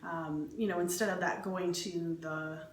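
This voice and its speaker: A woman's voice: fairly low pitch, fairly even intonation, measured pace. A woman in a conversational business interview, asking questions and attentively following along with short affirmations. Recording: echoey room, faint background noise.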